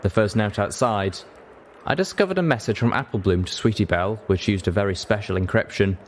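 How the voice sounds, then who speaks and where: Male audiobook narrator, lower-pitched, scripted first-person storytelling, faint noise in the recording. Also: fairly quick.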